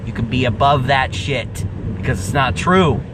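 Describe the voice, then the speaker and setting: A man's voice: medium pitch, moderate pace. A man in his twenties, vlogging in a casual rant that is profane and emphatic, repeating himself and laughing at his own jokes. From US Pacific Northwest. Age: 20s. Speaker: car vlogger. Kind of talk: casual ranting vlog. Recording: outdoor recording, mic at a distance, traffic noise.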